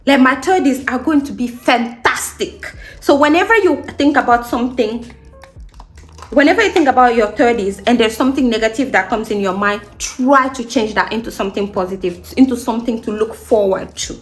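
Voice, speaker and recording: A woman in her late twenties with a fairly high voice chats casually as a beauty vlogger. She is upbeat and emphatic, often circling back to repeat an encouraging phrase. Music plays behind her. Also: brisk. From Guinea. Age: late 20s. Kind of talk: casual get-ready-with-me chat.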